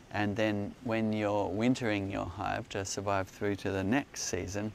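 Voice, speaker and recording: A man's voice: fairly low pitch, gravelly. A man in his forties hosting an outdoor beekeeping Q&A, explaining with enthusiasm while he demonstrates in a hillside garden. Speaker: beekeeping company host. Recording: faint outdoor noise.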